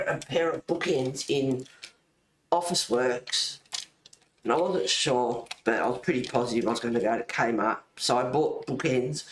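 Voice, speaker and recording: A man with an Australian accent chatting casually as a livestream host, rambling and easily sidetracked. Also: medium pitch. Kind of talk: casual chat. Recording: roomy echo, faint noise.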